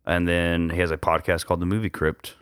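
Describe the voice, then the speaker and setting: A man's voice: low voice, level tone, a little fast, fairly bright tone, smooth, no room sound, clean studio sound. A man hosting a casual podcast chat, easygoing and rambling with lots of fillers. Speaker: podcast host. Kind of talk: casual chat over beers.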